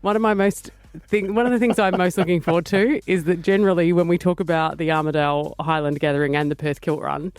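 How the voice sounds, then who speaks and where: An Australian woman co-hosting a breakfast radio show from a studio, teasing and enthusiastic, with quick laughs. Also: on the low side, steady tone, darkish tone, dry recording. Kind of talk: casual breakfast radio banter.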